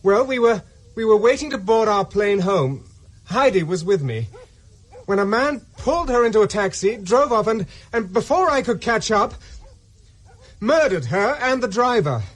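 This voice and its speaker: A man's voice: high voice, animated, moderate pace. An actor playing a distraught, hunted young Englishman in a radio drama, urgent and stammering. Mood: panicked, pleading. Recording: faint noise and ambience.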